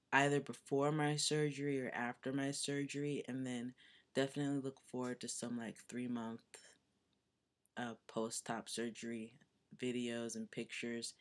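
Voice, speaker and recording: A young man with a voice that is still deepening, giving a chatty, earnest video update full of fillers. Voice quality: voice still deepening, not yet fully low. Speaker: vlogger. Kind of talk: casual personal video update.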